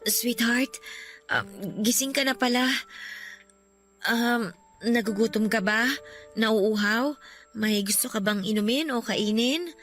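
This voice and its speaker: Casting: emotional Filipino wife full of guilt and grief, radio drama, high-pitched, faint music behind. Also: female voice, slow, long pauses, fairly bright tone. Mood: soft, caring. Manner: emotional, plaintive. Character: guilt-ridden grieving young wife, blaming herself.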